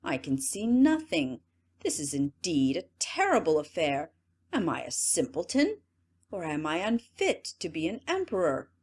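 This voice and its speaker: A woman's voice: deep voice, huge pitch swings, unhurried, husky, exaggerated voice. A woman voicing a vain, anxious male emperor in a storybook, fretting to himself then praising aloud. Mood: worried. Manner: fretful muttering, then forced graciousness.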